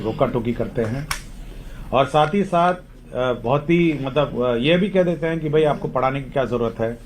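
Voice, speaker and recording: A man speaking as a government official, explaining rules at a briefing in a halting way that often rephrases. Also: mid-range voice, darkish tone, poor audio. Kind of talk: explaining policy at a press briefing. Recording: faint background ambient noise.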